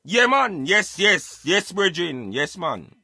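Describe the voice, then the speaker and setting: A Jamaican man hosting a casual talk show in patois, animated and emphatic as he addresses his listeners. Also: high-pitched, slow pace, gravelly, slightly rough, no room sound, studio quality. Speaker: radio show host.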